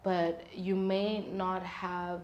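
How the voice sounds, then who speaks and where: A South African woman talking casually as an interview guest, telling stories in an animated way with rhetorical questions and acted-out reactions. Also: lowish voice, very slow, muffled recording, a little hiss or hum. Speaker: guest, singer-songwriter.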